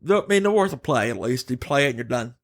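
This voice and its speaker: A man hosting a casual gaming podcast, giving opinions emphatically in a halting, self-correcting way. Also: medium pitch, lively intonation. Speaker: podcast host.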